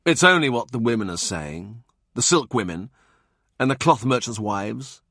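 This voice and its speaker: Guy as a shrewd deferential clerk, soft polite talk. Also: mid-range voice, lively intonation, normal speed, no echo, clean studio sound. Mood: sly.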